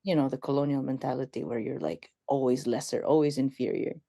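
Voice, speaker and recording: A woman from the Philippines, a guest on a radio talk show, telling stories in an animated, casual way and laughing as she goes. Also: rumbling low, a little fast, creaky, dry recording.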